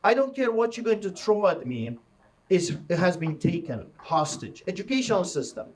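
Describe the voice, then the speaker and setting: Casting: middle-aged man, Polish accent, street preacher, forceful and mocking, fairly high voice. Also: sing-song, normal speed. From Poland. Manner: forceful, mocking, rising to shouts. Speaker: street pastor. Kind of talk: fiery preaching to a crowd.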